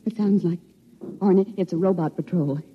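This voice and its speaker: A woman's voice: on the low side, normal speed, a little hiss or hum, lightly acted. An actress playing a brave, defiant woman scientist in love, in an earnest, theatrical old radio drama style. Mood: urgent, tender. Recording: dull vintage radio recording.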